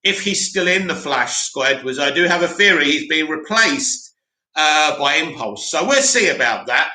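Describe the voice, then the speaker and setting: Man, live stream host, opinionated, loud, echoey room. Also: on the high side, animated, moderate pace. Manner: loud and opinionated, many restarts. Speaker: live stream host reading viewer comments. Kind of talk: casual live chat.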